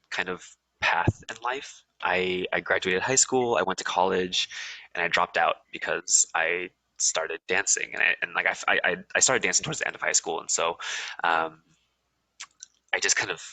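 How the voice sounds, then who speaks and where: Low, bright-voiced young American man. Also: dry recording, rough recording. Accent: Californian American. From USA. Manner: relaxed, rambling, many ums and restarts. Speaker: guest. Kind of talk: casual interview chat.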